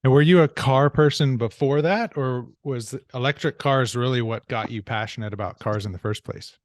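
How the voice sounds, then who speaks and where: Male podcast host, medium pitch, friendly interviewer, dry studio sound. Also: normal speed, no echo.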